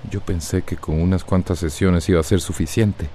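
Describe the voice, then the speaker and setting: A man's voice: deep voice, fairly even intonation, normal speed, warm tone, a little hiss or hum, lightly acted. An actor playing a reserved middle-aged father in a radio drama, speaking hesitantly with a Costa Rican accent. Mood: hesitant, uneasy. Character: reserved middle-aged father trying to mend his family. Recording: radio drama with faint music behind.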